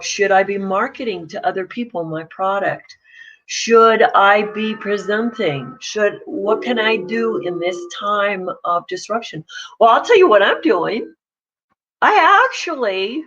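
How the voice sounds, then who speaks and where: A woman's voice: on the high side, a bit bright, highs cut off. A woman coach giving a casual, plain-spoken morning talk to camera. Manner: chatty, pragmatic, plain-spoken.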